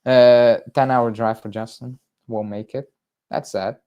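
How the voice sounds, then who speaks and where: A man's voice: medium pitch, fairly even intonation, unhurried, clear, resonant tone, dull audio. Chatty Belgian-born man on a livestream. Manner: rambling, lots of fillers and restarts, chatty. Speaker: football fan channel host. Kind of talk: casual livestream chat reading viewer comments.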